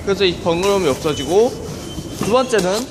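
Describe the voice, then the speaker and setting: High-pitched man over loud train noise. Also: lively intonation. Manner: halting, repeats himself. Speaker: rail enthusiast filming a video. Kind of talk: casual off-the-cuff station tour commentary. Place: on a train and in a railway station. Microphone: handheld camera or phone. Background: trains, station ambience.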